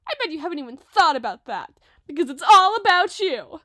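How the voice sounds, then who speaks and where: A woman's voice: very high-pitched, sing-song, sharp tone, dry recording, studio quality, exaggerated voice. A woman voicing a dramatic, sweet young giantess model in an audio roleplay, with an emotive, chatty delivery. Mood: indignant, tearful. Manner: emotive, chatty, halting when flustered.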